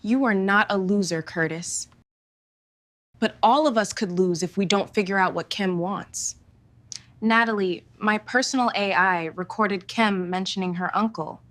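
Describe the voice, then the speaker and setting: A woman's voice: mid-range voice, a little slow, a bit bright, no echo, close to an everyday voice. A woman playing a bold young superhero-comic character in a motion comic. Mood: frank, determined.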